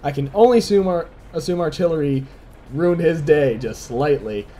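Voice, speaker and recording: Man, young adult, slightly hoarse, high pitch, casual replay commentary, analytical, chuckling. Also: moderate pace. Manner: relaxed, chuckling, analytical play-by-play. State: slightly hoarse, dry throat. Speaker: gameplay commentator.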